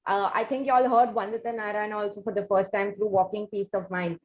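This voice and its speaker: A woman's voice: medium pitch, level tone, fairly quick. A woman with an Indian accent hosting a conversational interview in wordy, formal phrasing. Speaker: interviewer and show host. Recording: muffled audio with background noise.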